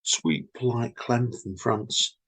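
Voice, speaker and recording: A man co-hosting a story podcast, chatting casually and reading his own poem in a slow, reflective way. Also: mid-range voice, fairly bright tone, slightly rough. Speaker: podcast co-host, poet. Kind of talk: casual chat and poetry reading.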